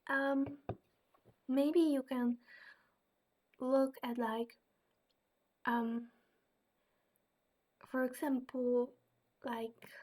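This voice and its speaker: Slow, soft-spoken young Dutch woman. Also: high-pitched, flat delivery. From Netherlands. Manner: hesitant, many fillers and soft asides. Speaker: vlogger answering viewer questions. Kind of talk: casual webcam Q&A vlog.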